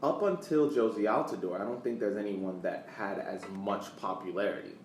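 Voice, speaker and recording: An American man co-hosting a podcast, talking casually about sports in a halting way, repeating words as he searches for phrasing. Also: lowish voice, a little mellow. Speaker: podcast co-host. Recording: echoey room, distant mic, faint background noise.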